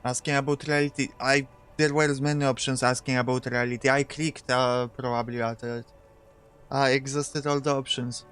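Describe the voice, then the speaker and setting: A man's voice: mid-range voice, flat delivery, moderate pace, fairly bright tone, clear, resonant tone, soft background music. A male streamer playing a game live, reading dialogue aloud and chatting casually with viewers. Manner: casual, reads lines aloud, chats to viewers.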